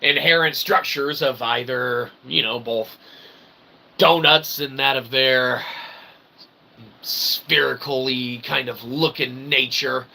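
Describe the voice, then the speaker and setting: A man's voice: mid-range voice, steady tone, takes it slowly, bright, forceful tone. A man hosting a livestream, giving a rambling philosophical monologue in wordy, convoluted phrasing with frequent tag questions. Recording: clear background noise.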